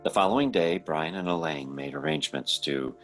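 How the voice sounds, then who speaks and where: A man's voice: rumbling low, a little fast, a bit bright, faint music behind. An actor playing an anxious, haunted man who narrates and takes part in an old-time radio horror drama. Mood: somber. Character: haunted, anxious male narrator recounting an eerie tale.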